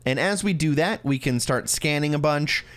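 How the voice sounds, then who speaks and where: A man's voice: fairly high pitch, normal speed, a bit bright. A man narrating a video game walkthrough in a casual, instructional way, talking directly to the viewer. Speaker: video game guide creator.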